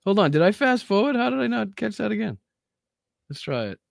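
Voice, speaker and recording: A man's voice: high voice, big pitch swings, a little fast, creaky, no room sound, studio quality. Profane Boston man mocking on a livestream. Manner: crude, profane, mocking, laughs often. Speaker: livestream host. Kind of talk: live reaction commentary.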